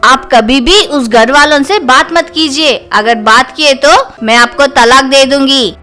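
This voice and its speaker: A woman playing a combative, defensive young mother, indignant and accusing, in an animated comedy story. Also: sits high, unhurried.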